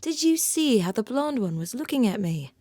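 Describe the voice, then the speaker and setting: A woman playing a prim, earnest theme park professional in an audio drama, speaking in a measured, reserved way. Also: on the high side, very animated, dark tone, dry recording, studio quality. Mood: disapproving.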